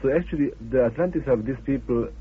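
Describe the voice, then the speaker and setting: A man's voice: medium pitch, fast talker. A man with a mid-range voice acts as interviewer, chipping in with short informal remarks. The recording is narrow and muffled, with faint noise behind him. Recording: muffled, noisy old radio interview.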